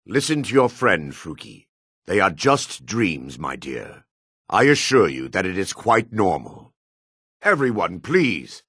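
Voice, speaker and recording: An actor playing a solemn, world-weary dark elf man in a fantasy video game, with a raspy, measured, theatrical delivery. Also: low-pitched, sing-song, no room sound, studio quality, full character voice. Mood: earnest, pleading.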